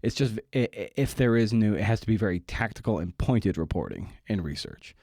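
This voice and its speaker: Low-voiced man hosting a podcast. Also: expressive, fairly quick, dark tone, no room sound, clean studio sound. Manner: self-deprecating, candid. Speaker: podcast host. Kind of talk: informal podcast monologue.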